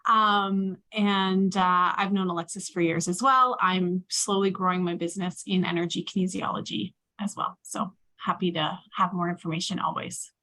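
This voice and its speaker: A woman's voice: fairly low pitch, steady tone, normal speed, hardly any pauses, pushed and bright. A woman from Canada casually introducing herself as a practitioner on a video call.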